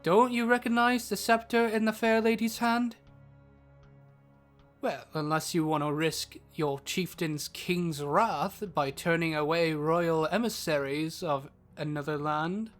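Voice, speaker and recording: A man's voice: on the high side, a little slow, a bit bright, faint music behind. Man acting a courtly, sly fantasy go-between. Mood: smoothly reproving. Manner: formal, smooth-talking. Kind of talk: fantasy audio drama acting.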